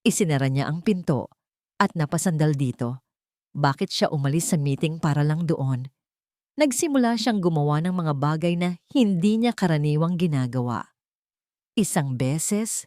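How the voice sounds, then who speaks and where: A woman with a Filipino accent narrating a scripted romantic drama story in a dramatic, measured storytelling manner. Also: deep voice, darkish tone, no echo, clean studio sound.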